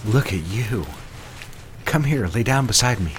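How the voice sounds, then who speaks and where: A man playing an affectionate, sentimental gentleman lover in audio drama dialogue. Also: on the low side, expressive, a little slow, slight background noise.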